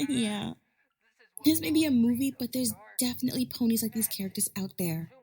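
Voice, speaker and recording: A woman voicing a stubborn, devoted young pony wife, earnest and breathy, in a fan fiction audio drama. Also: on the high side, animated, moderate pace, a little mellow. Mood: amused. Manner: earnest, insistent.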